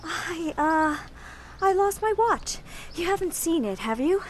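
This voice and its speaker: Guarded American woman, prickly, high voice, animated radio drama delivery, faint ambience. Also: measured pace, slight background noise. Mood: defensive. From United States, Seattle. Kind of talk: naturalistic radio drama acting.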